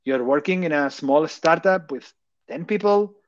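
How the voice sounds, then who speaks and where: A man's voice: on the high side, unhurried, no room sound. Catalan-accented man presenting over a call. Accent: Catalan Spanish. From Barcelona, Catalonia. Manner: hesitant, many fillers, asks rhetorical questions. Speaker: conference speaker. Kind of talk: technical presentation. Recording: dull, dry lo-fi call audio.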